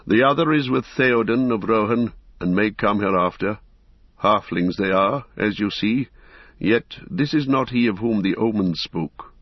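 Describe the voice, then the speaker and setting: A wise old wizard, calm and stern, speaking in measured, solemn tones, as a male storybook reader puts him on. The voice is low and the sound is dry and a bit dull. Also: no echo. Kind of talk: audiobook character voice.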